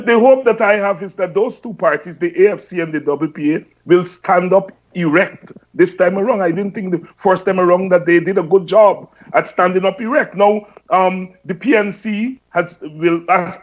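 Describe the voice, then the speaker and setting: High-voiced man on a lo-fi video call. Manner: measured, thoughtful, many ums. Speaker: panel guest, party member. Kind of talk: online panel discussion. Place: home room, indoors. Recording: dull lo-fi video call audio.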